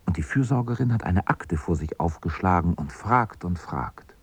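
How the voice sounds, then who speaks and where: A man with a husky voice narrating a scripted children's story as the narrator of a radio drama. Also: low voice, expressive, normal speed, dry recording.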